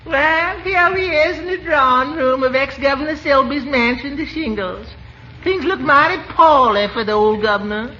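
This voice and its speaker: A man's voice: very high-pitched, moderate pace, pushed and bright, pitched above the performer's own voice. A man voicing a comical, folksy old Southern woman with a drawl, in 1940s radio comedy style. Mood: gloomy, folksy. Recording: muffled, noisy 1940s radio broadcast.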